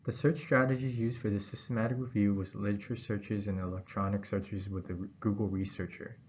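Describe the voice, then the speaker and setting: A man with a fairly low voice reads a formal academic script in short, halting phrases. The recording is dull and muffled, with some faint noise behind him. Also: little pitch movement, darkish tone. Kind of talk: scripted academic presentation read aloud.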